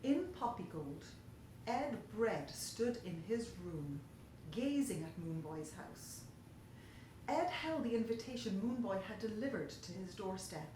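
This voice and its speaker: Woman children's story reader, unhurried and animated, with big swoops in pitch, recorded in a very reverberant room. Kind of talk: expressive children's story narration. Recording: very echoey room, faint hiss.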